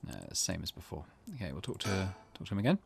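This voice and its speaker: A man's voice: deep voice, lively intonation, fast, gravelly, breathy edge. A man hosting a game playthrough, thinking aloud in casual commentary. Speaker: gaming video host. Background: game music.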